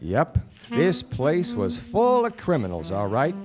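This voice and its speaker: Wry 1940s gumshoe, animated delivery. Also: male voice, medium pitch, slow pace. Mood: dry, confiding. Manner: deadpan, theatrical. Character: wry, self-important 1940s gumshoe detective. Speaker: detective and narrator. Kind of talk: 1940s radio noir acting. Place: theatre stage before studio audience. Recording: live radio play, music behind.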